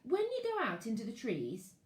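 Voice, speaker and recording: A woman's voice: fairly high pitch, wildly swooping pitch, normal speed. A woman reading aloud, voicing a sensible, cautious boy who speaks in an earnest, questioning way with a wry edge. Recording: roomy echo.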